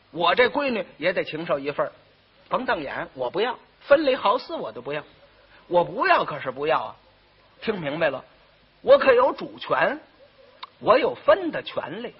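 Man playing a bossy Beijing younger sister, husky. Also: high voice. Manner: brisk, scolding, taking charge. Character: bossy, shrewd, outspoken youngest married sister. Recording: muffled, dull old recording.

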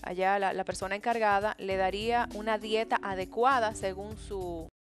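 A middle-aged woman hosts a TV studio interview, speaking into a headset mic. She leads the talk briskly, with soft music underneath. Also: mid-range voice, steady tone. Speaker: TV show host. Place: TV studio set.

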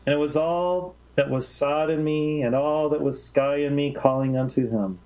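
A man reading aloud as Mary Magdalene, a grave woman recalling how she was transformed, in a measured, reverent voice. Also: on the high side, darkish tone, pitched above the performer's own voice, natural voice. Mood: solemn. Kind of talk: slow solemn reading of a literary monologue. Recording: slightly dull lo-fi recording.

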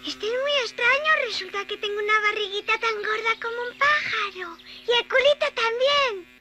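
Very high-pitched childlike girl bee. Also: moderate pace. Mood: amazed, delighted. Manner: exclamatory, childlike. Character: small naive, excitable young girl bee. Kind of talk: classic children's cartoon dubbing. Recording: loud music behind the voice.

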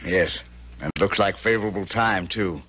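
A man playing a courtly, proud army colonel with calm authority in a 1970s radio drama. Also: deep voice, normal speed, highs cut off. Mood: genial, proud.

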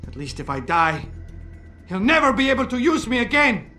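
An actor playing a guilt-ridden, betrayed middle-aged surgeon in a video game, with a formal, anguished delivery. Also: male voice, extremely high pitch, big pitch swings, normal speed, sharp tone, soft background music, heavily acted. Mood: indignant anguish. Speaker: former surgeon. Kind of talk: video game cutscene acting.

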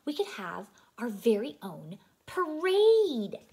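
A woman's voice: on the high side, very animated, takes it slowly, heavily acted. A woman reading the part of a cheerful cartoon puppy leader in a storybook, emphatic and encouraging. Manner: bright-spirited, emphatic, encouraging.

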